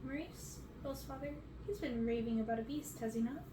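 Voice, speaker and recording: A woman's voice: sits high, brisk, roomy sound, recorded from a distance, noisy background, close to an everyday voice. A woman voicing a sly, scheming witch with a sing-song manner in a storybook reading. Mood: sly coaxing.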